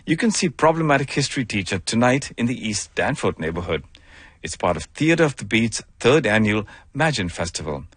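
A man hosting a radio arts segment, reading introductions in a lively presenter manner. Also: mid-range voice, fairly quick, breathy edge, no echo.

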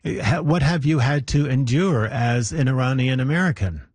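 A man hosting a broadcast interview, putting questions in a measured, polite way. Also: mid-range voice, darkish tone, husky, no echo. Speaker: radio interviewer, host.

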